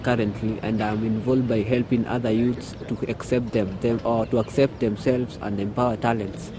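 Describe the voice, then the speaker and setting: A man's voice: on the low side, little pitch movement, moderate pace. A man, a youth coordinator who rambles and searches for words in a documentary interview. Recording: outdoor street noise with passing traffic.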